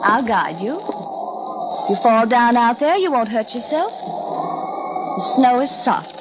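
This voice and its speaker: Cruel, mockingly sweet woman, high-voiced, old radio. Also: wildly swooping pitch, exaggerated voice. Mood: cold insistence. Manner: mock-solicitous, taunting, sardonic, drawn-out endearments. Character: cruel, mockingly sweet woman tormenting her blinded rival. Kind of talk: old-time radio drama acting. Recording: dull, very noisy old radio recording.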